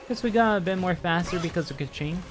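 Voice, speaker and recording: A man's voice: sits high, quick pace. A man doing casual live game commentary as a streamer, muttering and exclaiming to himself as he plays. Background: game music.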